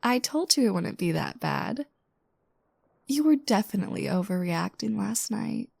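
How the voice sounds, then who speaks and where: A woman playing a playful, teasing new girlfriend just waking up, speaking softly and intimately in a roleplay audio. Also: medium pitch, lively intonation, no echo, full character voice. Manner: soft, breathy asides, teasing, unhurried.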